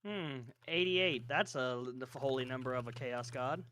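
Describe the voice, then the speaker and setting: A man's voice: medium pitch, moderate pace, sharp tone. A man chatting casually as a player in a tabletop roleplaying game.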